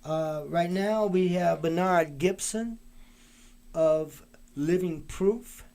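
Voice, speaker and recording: A man's voice: sits high, slow, warm tone. A man hosting a live talk show, speaking casually with many fillers and restarts. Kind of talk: casual live-stream interview.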